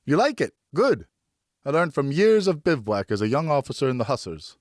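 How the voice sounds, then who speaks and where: A man's voice: a little high, very animated, a little fast, dry recording, clean studio sound, voice pushed up. A man voicing a genial French father and former officer, encouraging and instructive, in an audiobook. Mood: genial, proud. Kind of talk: audiobook character dialogue.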